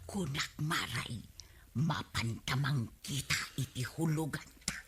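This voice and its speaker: A woman's voice: rumbling low, slow, fairly bright tone, slightly rough, exaggerated voice. A woman with an Ilocano accent playing a complaining, scheming housewife in a radio comedy drama, pleading and emphatic. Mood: conspiratorial. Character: complaining, scheming housewife who hand-washes laundry, cajoling husband.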